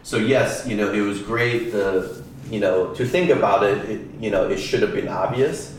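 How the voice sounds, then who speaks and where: A man who grew up in Taiwan, a real estate attorney giving halting answers with many ums in a casual interview. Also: lowish voice, slight background noise. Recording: distant echoey mic with music behind.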